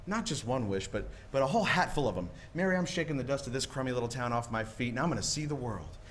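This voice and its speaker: A man's voice: medium pitch, expressive, fast talker, a bit bright, lightly acted. A man playing an eager, dreamy young small-town man in love, stammering and rambling in 1940s radio play style. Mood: dreamy, boastful. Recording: live stage performance, audible background noise.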